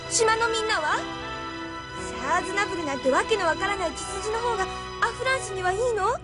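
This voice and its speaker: A very high-voiced, emotional girl. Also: lively intonation, fast talker, over loud music. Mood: hurt, angry. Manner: emotional, reproachful. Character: passionate, possessive, wounded young island girl. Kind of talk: anime-style audio drama acting.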